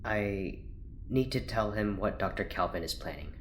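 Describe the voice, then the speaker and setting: An actress playing a troubled, earnest and defiant scientist in an audio drama, with an anxious, halting manner. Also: female voice, rumbling low, expressive, slight background noise. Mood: uneasy.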